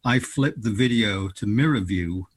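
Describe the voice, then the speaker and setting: A lowish man's voice with a Scranton, Pennsylvania accent and a husky grain. He asks questions and tells short stories in a measured, conversational way. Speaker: co-host. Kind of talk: casual interview chat.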